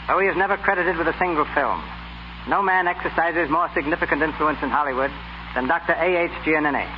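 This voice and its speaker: Formal 1930s male radio announcer, highish voice. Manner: formal, oratorical. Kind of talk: 1930s scripted radio narration. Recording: muffled 1930s radio broadcast with hiss.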